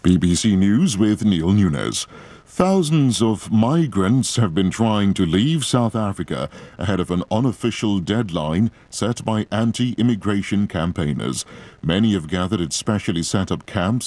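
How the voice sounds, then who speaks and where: A man reading a news bulletin in a measured, formal delivery. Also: deep voice, lively intonation, darkish tone, dry recording. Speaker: newsreader.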